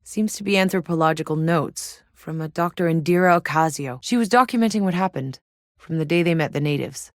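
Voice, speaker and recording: Tough wry soldier lady for a video game, low pitched, terse and matter of fact, dry studio sound. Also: fairly quick, no room sound. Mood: matter-of-fact, focused. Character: tough, wry female soldier scouting a hostile alien world. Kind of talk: scripted video game voice lines.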